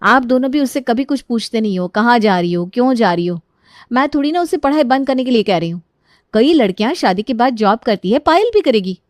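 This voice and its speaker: A woman's voice: a little high, lively intonation, a little fast, darkish tone, no echo, clean studio sound, pitched below the performer's own voice, natural voice. A woman voicing a worried, respectful young daughter-in-law who reasons politely and defends herself in a family drama. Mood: earnest, defensive.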